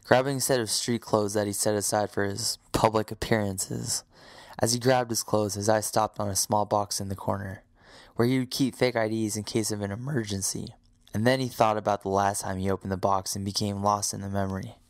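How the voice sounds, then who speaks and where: Low-voiced male story narrator. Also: level tone, brisk, dry recording, clean studio sound. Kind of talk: scripted audio drama narration.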